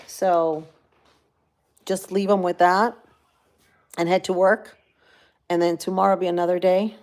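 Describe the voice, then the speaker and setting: A woman with a Cuban accent chats casually on a livestream, talking straight to her viewers and reading their comments aloud. Her voice sits a little low, and she rambles along with plenty of fillers. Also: fairly even intonation, measured pace, darkish tone. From grew up in Florida. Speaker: livestream host.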